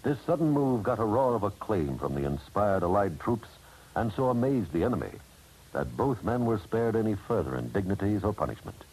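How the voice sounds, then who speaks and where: A man's voice: fairly low pitch, sing-song, brisk, a little mellow, husky, poor audio. An American man giving solemn, formal, emphatic scripted narration as a 1950s radio announcer.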